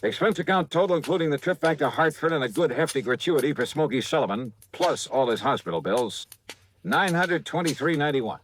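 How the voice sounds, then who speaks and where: An American actor playing a wry, hard-nosed insurance investigator, clipped and sardonic, in 1950s radio detective style. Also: male voice, on the high side, animated, fairly quick, a little hiss or hum, natural voice. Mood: wry narration.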